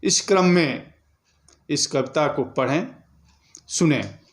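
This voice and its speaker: A man from India, presenting and reciting poetry in a formal, deliberate, measured way. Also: a little high, expressive.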